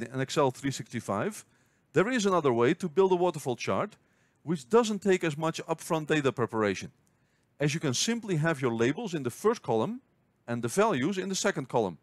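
Guy walking you through a spreadsheet tutorial, bouncy higher voice, super dry close mic. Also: no room sound. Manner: clear step-by-step explaining. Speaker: finance tutorial host. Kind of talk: scripted screen-recording tutorial.

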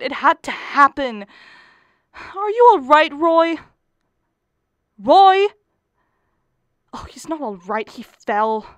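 A frantic middle-aged wife and mother of the bride, acted by a woman for a radio play, pleads in a high voice. She draws her words out with anxious melodrama, heard in a dry, close recording. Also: no echo, exaggerated voice. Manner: dramatic, wailing, pleading.

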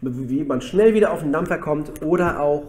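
A man co-hosting a casual podcast, slightly hoarse from a cold, joking his way through quick banter. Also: fairly high pitch, lively intonation, darkish tone, reverberant. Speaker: podcast co-host. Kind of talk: casual chat. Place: office room.

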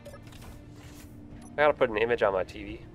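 A man's voice: fairly low pitch, measured pace, soft background music. Stuffy-voiced man streaming a game. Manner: relaxed, rambling, frequent fillers. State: stuffy from a cold. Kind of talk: casual live-stream game commentary.

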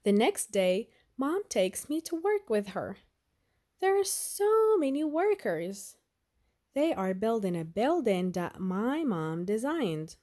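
Lady reading a kids picture book out loud, slow and really animated. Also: a little high. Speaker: storyteller reading a children's picture book aloud. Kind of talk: expressive read-aloud narration for children.

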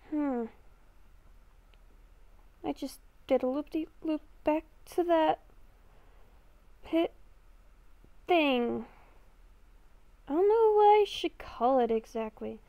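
A woman's voice: sits high, darkish tone, dry recording, full character voice. A young woman improvising a Minecraft roleplay as a confused, lost young woman, hesitant and thinking aloud. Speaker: gaming video creator.

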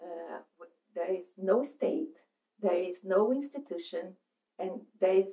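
Woman, middle-aged, Brazilian accent, unhurried and halting seminar talk, seated in a meeting room. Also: medium pitch, animated, warm tone, poor audio. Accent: Brazilian Portuguese. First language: Portuguese. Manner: halting, searching for words, many restarts. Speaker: psychoanalyst speaker. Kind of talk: presentation at a seminar.

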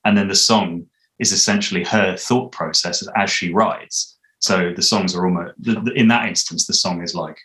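A man in his thirties with a low-pitched voice. He explains his creative work in a casual, hesitant, thoughtful way, speaking over a video call from home. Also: normal speed, sharp tone, rough recording. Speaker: audio drama composer, interviewee. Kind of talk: casual interview conversation. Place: small home room, indoors. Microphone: video call, mic at a distance.

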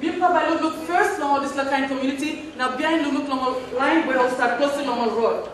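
This is a high-voiced woman with a Papua New Guinean accent. She answers formally as a government speaker in a parliament, partly improvising. Her voice rings around a very echoey room, with faint background noise. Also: fast. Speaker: government respondent in a practice parliament. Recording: very echoey hall, distant mic, faint noise.

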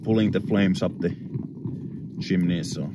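A man in his thirties chatting casually and reflectively to camera, unhurried, speaking in short phrases with pauses. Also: low-pitched, very noisy. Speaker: outdoor vlogger. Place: inside a small tent and outdoors in snowy woods.

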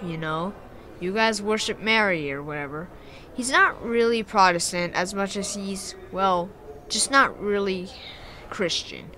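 A man's voice: high-pitched, bright, forceful tone, a little hiss or hum, full character voice. An actor playing an earnest, troubled young boy in an audio drama, speaking hesitantly.

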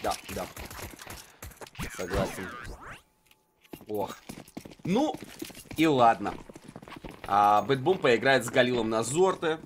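A man's voice: mid-range voice, expressive, slow, long pauses, noisy background. A man giving unscripted live commentary on an esports match in a colloquial, musing way.

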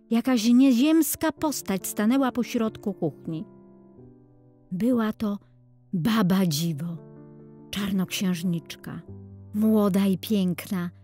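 Female storyteller, mid-pitched, unhurried, expressive children's audiobook narration over music. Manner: measured, expressive storybook reading. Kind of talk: scripted fairy-tale narration for children. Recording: music under the voice.

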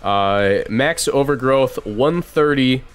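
A man in his twenties giving casual live game commentary, chatting and thinking out loud as he plays. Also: medium pitch, animated, slow pace, smooth, soft background music. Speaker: streamer.

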